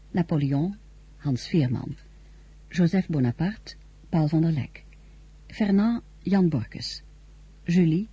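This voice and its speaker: A woman with a Dutch accent and a breathy voice, reading a scripted radio announcement. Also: on the low side, dark tone.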